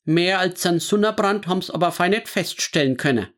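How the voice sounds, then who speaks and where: A man voicing an agitated elderly woman, talking fast on the telephone. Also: lowish voice, voice pushed up, close to an everyday voice. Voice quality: raised excited voice, dialect-heavy.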